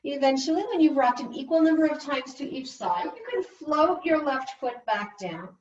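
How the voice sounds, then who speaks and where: A woman instructor calmly guiding gentle yoga and relaxation in an unhurried, soothing way. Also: high voice, crowd noise. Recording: roomy, distant, slightly muffled class recording.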